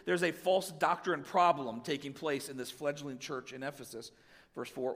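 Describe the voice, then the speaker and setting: A man's voice: a little high, a bit bright, in a large hall. Man preaching with a head cold. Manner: conversational, asides to the congregation. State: has a cold, slightly raspy. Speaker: pastor. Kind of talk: sermon with scripture reading.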